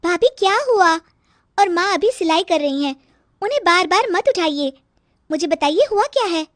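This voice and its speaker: A woman's voice: very high voice, lively intonation, normal speed, no room sound, clean studio sound, pitched above the performer's own voice. A woman voicing a cheeky, easygoing young daughter of the house who teases her sister-in-law. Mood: concerned. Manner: teasing, easygoing.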